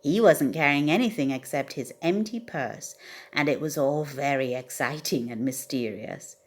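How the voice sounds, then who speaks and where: A woman reading a children's bedtime story aloud as an expressive narrator. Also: sits low, normal speed. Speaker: storybook reader.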